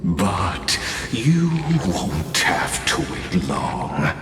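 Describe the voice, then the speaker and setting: An actor playing a lofty, bitter scholar mastermind in a game cutscene, speaking in a slow, solemn, measured monologue. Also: male voice, extremely low pitch, huge pitch swings, heavily acted. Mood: impassioned. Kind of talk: video game cutscene voice acting. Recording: echoey hall reverb with music behind.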